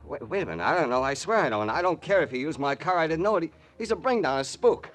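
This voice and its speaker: A man's voice: a little high, expressive, fast, a bit bright. An American man playing a slangy, defensive nightclub hipster and barman in a 1940s radio drama. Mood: rattled.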